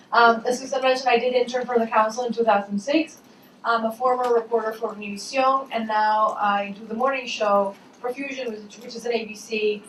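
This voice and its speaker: A young woman moderates a live panel in a fairly high voice and talks in a chatty way. She laughs easily and fills her pauses, and the room rings with echo while she stays some distance from the mic. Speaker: panel moderator, morning show host. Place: event hall. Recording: echoey hall, far from mic, faint noise.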